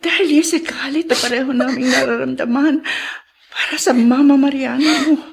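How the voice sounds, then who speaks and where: A woman's voice: high-pitched, fairly even intonation, normal speed, creaky. An actress playing an emotional, anguished mother in a Filipino radio drama. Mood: tearful remorse. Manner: dramatic, anguished, breaking into sobs. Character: guilt-ridden ailing mother, emotional and remorseful.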